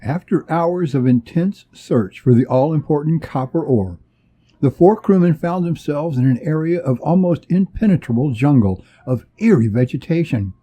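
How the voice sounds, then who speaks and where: A man's voice: fairly high pitch, lively intonation, moderate pace, warm tone, lo-fi sound. A man narrating an adventure serial in a suspenseful, theatrical manner. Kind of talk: dramatic serial story narration.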